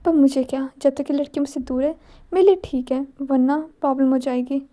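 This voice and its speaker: Woman playing a cold irritable young man, curt scolding, mellow. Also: sits high, fairly even intonation, fairly quick, lightly acted. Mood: annoyed.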